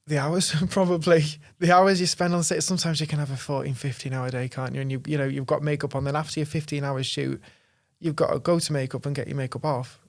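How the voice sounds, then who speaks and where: The chatty young guy on a podcast sofa, rattling along with a gravelly fry, self-deprecating, recorded close and dry. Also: on the high side, flat delivery, fast, no room sound. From North Wales and Chester, England. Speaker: podcast guest, actor. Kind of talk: casual chat.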